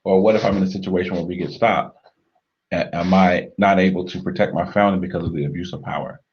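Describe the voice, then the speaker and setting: A man co-hosting a marriage talk show, speaking in a measured, reflective way and often murmuring agreement. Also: low-pitched, steady tone, muffled recording, a little hiss or hum. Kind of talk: earnest conversational discussion.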